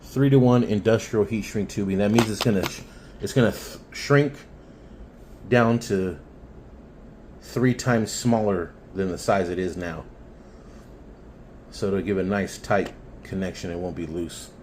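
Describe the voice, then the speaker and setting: Low-voiced man giving a casual how-to. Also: measured pace, pauses often, a little mellow, slight background noise. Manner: informal, plainspoken, thinking aloud. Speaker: hobbyist installer giving a how-to. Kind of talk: casual hands-on tutorial talk.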